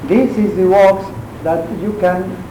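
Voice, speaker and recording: A man with a Mexican accent, Spanish his first language, lectures on painting in English at a very slow, halting pace, pausing often to find his words. His voice sits a little high. The recording is echoey, with clear background noise from a university lecture room. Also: steady tone. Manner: halting, searching for English words with many pauses. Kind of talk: art lecture.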